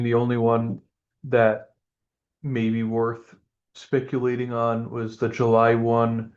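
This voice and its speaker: A man's voice: medium pitch, darkish tone. A man, a member of a town commission, speaks casually in a meeting and often says "like". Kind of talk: meeting discussion. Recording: dull lo-fi sound.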